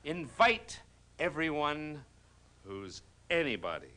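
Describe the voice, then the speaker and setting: A forceful, pompous storybook king. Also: on the high side, sing-song, takes it slowly, full character voice. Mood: grand, decisive. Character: pompous fairy-tale king, worried father. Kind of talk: children's TV fairy-tale acting.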